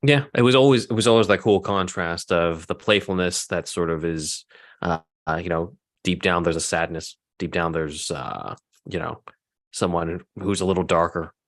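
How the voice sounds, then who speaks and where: Low-voiced man, reflective casual talk. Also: normal speed. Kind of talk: casual group chat.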